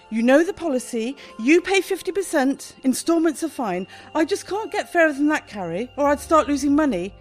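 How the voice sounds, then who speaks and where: Female, British, bossy excitable shop owner, radio comedy; high, swooping, brisk delivery. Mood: impatient, annoyed. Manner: brisk, chatty, theatrical. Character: bossy, fussy, easily panicked woman shop owner. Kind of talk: radio play comedy acting.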